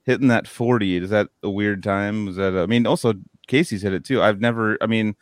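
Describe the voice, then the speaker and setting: An animated man in his thirties co-hosts a podcast and tells stories full of curses. His voice is a bit low. The recording is dry and close. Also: fairly quick, no echo. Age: 30s. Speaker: podcast host. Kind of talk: casual chat.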